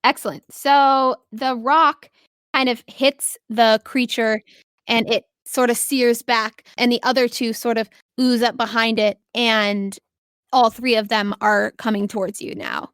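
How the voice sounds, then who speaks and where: Higher-voiced woman running a tabletop game. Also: fairly even intonation, measured pace, fairly bright tone, clean tone, dry recording, studio quality. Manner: encouraging, affirming players with short praise. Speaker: game master. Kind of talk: narrating a tabletop role-playing game, improvised and casual.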